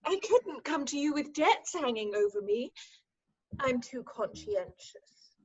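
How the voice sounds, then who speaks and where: A woman's voice: high-pitched, sing-song, unhurried, husky, full character voice. A woman playing a self-possessed, indignant young lady in a staged reading of a Victorian farce, haughty and theatrical. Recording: dull remote call audio.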